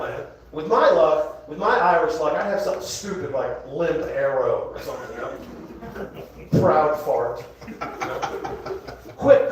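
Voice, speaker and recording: A man's voice: high-pitched, a little hiss or hum. A man in his sixties doing a rambling, self-mocking stand-up set on a comedy club stage, fired up and bantering with the crowd. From Florida. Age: 60s. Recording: echoey club from a distance with crowd. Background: audience laughing.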